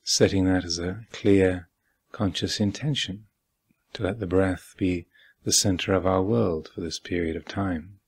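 A man with a husky voice guiding a meditation as a teacher, speaking calmly and deliberately with long pauses. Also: low-pitched. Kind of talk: guided meditation instruction. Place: meditation hall at a retreat.

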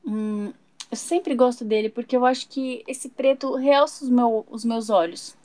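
Woman, high voice, sweet and chatty, dry close recording, doll play. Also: a little slow, a little mellow, dry recording. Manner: chatty, sweet, talks to viewers. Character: chatty young woman doll, fashion-loving. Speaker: toy channel narrator voicing a doll.

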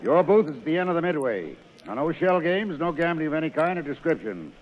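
An actor playing a gruff, no-nonsense carnival manager in an old radio comedy, curt and authoritative. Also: male voice, on the high side, very animated, fast talker. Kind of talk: 1930s radio comedy acting. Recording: tinny, noisy 1930s radio recording.

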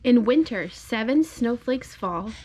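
A woman's voice: fairly high pitch, animated, measured pace, a little mellow. A woman, a mother, reading a picture book aloud at home and prompting a small child with simple questions. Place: home bedroom, indoors.

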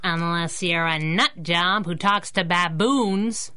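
An actress playing a tough, young, wisecracking superhero sidekick, sassy and slangy, in a 1930s-style radio drama. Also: female voice, fairly low pitch, takes it slowly, sharp tone, clear, resonant tone. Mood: wry.

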